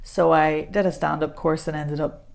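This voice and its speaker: Chatty woman, lowish voice. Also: fairly even intonation, normal speed, creaky, a little mellow. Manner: chatty and self-deprecating, laughs often. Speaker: voice coach guest. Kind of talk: casual podcast conversation.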